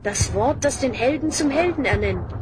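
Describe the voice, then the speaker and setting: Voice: sits high, expressive, moderate pace. An actor playing an earnest, commanding young hero with declamatory delivery in a German fantasy audio play. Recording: loud background noise.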